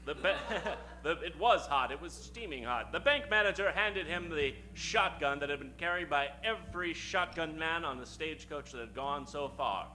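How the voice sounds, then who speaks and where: A man's voice: fairly high pitch, animated, moderate pace, hardly any pauses. A man hosting and narrating a live comedy in the showy, theatrical style of a 1940s radio announcer. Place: live stage before an audience.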